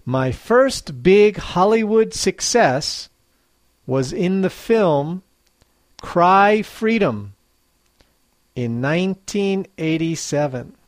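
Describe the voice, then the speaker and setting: Very slow, deliberate quiz reader. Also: mid-range voice, lively intonation, no echo. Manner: reads each clue twice, slowly and clearly. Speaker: English teacher. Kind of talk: slow quiz dictation for learners.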